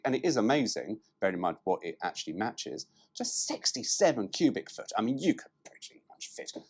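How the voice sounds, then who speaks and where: Man with wildly swooping, slightly husky delivery. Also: medium pitch, a little mellow. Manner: cheeky, irreverent, sweary. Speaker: presenter. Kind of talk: reading a car brochure aloud with joking asides.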